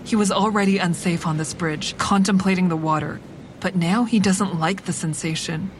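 Actress as a coolly calculating woman thriller narrator, lowish voice. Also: normal speed, slight background noise, lightly acted. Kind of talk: scripted first-person audio drama narration.

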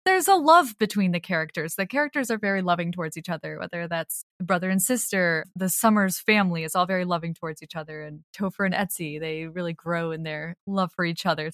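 A woman's voice: on the low side, brisk, hardly any pauses, a bit bright, dry recording, clean studio sound. A woman talking casually as a creative guest in an interview.

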